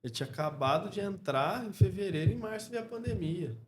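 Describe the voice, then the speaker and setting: A man's voice: high-pitched, lively intonation. Brazilian man talking casually over background noise. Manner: halting, reflective, frequent tag questions. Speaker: podcast guest, clothing store co-founder. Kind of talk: casual interview conversation.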